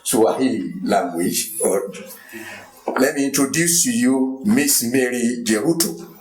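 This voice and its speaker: A man's voice: mid-range voice, darkish tone. Man introducing a panel, echoey hall. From Nigeria. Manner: measured, with frequent hesitations. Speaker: conference moderator introducing panelists. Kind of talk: formal introductions. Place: university conference hall.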